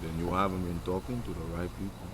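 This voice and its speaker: With clear traffic noise around him, an actor plays a streetwise musician in a radio comedy drama. He coaxes and encourages like a fond old friend. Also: deep voice, level tone, fast talker, close to an everyday voice. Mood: persuading. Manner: coaxing, affectionate.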